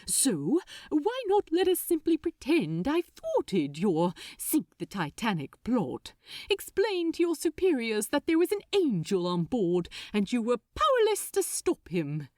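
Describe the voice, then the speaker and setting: Audiobook angel, male, played by a woman: gentle, proper and anxious, with highly animated intonation and dry, close sound. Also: unhurried, dry recording. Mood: excited, earnest. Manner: polite, earnest, formal wording. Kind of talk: dramatic audiobook character acting.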